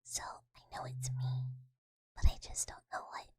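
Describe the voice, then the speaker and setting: A woman ASMR streamer softly whispering and rambling while she chats with her live audience about her microphone setup. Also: normal speed. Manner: soft whispering, rambling with many fillers. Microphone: USB desk mic.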